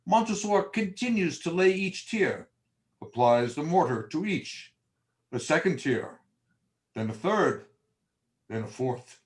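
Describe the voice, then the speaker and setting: A man's voice: on the high side, expressive, slow pace, long pauses. A man narrating a gothic horror drama from a script in a theatrical, storytelling style.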